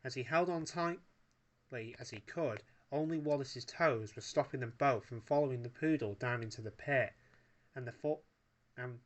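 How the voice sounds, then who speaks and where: Man reading a story aloud. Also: mid-range voice. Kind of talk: read-aloud story narration.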